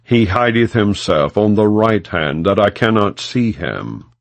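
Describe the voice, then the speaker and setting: A man narrating scripture as an audiobook in a solemn, measured, formal manner, without dramatization. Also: lowish voice, lively intonation, no room sound.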